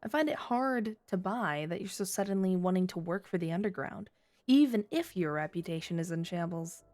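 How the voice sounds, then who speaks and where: A woman's voice: on the low side, lively intonation, normal speed, a little mellow, lightly acted. A woman playing a flirty, taunting underworld rogue in an ASMR audio roleplay, with a teasing, sardonic drawl. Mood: teasing, seductive. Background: music.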